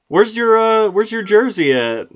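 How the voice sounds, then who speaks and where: A man with a fairly high voice hosts a casual sports podcast. He talks in a loose, joking way and often breaks into laughter between lines. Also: big pitch swings, measured pace. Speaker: podcast host.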